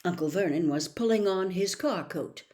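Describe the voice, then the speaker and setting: Expressive woman reading a storybook. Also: on the low side, normal speed. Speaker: audiobook reader.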